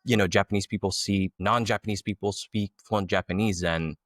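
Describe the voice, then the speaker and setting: Low-voiced man asking interview questions. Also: fairly even intonation, a little fast, dry recording. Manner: thoughtful, hesitant, with fillers and rephrasing.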